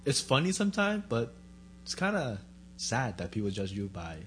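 A man in casual chat, relaxed and joking, dropping his voice low in his asides. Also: normal speed, a little hiss or hum.

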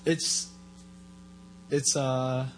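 A man in relaxed conversation, joking about everyday encounters and acting out what people say to him. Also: medium pitch, very slow, slight background noise, rough recording. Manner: joking, self-deprecating, acts out quoted people. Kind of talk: casual conversation.

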